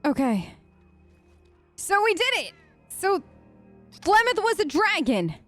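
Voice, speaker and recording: A woman gamer hosting a role-play let's play, conversational, expressive and emphatic. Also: very high-pitched, takes it slowly. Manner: expressive, emphatic, incredulous asides. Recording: close dry mic, faint game music. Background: faint game music.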